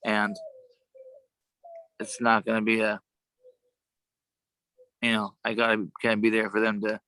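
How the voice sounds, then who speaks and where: A man chatting casually on a video call, with a worn, sometimes gravelly voice and a halting, rambling way of talking. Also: fairly low pitch, completely flat delivery, sharp tone, no echo. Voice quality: gravelly at times. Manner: rambling, halting, many restarts. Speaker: show co-host.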